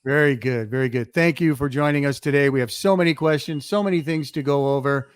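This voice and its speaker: A man's voice: a little high, fairly quick, smooth, no room sound. A man hosting a podcast in a casual, chatty interview style. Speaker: podcast host.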